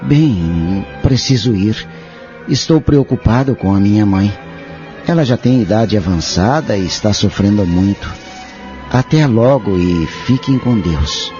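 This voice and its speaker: A man's voice: fairly low pitch, expressive, a little slow, dark tone. A man playing a polite, worried grown son in an audio drama, speaking formally with a Brazilian accent. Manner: courteous, formal. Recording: dull lo-fi audio, music behind.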